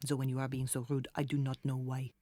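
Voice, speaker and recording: A woman's voice: rumbling low, completely flat delivery, quick pace, dark tone, no echo, studio quality. A woman acting a kindly but firm French nanny in a children's audio drama, with a French accent. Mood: stern, reproachful.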